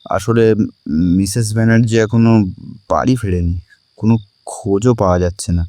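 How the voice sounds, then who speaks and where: A man playing a steady, supportive young friend in a Bengali audio drama. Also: fairly low pitch, normal speed, no echo, lightly acted. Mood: grave.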